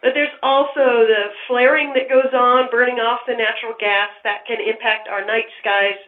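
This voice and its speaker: A woman's voice: fairly high pitch, steady tone, moderate pace. Woman on an echoey phone line. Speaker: park deputy superintendent, interview guest. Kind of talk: interview answers, explanatory.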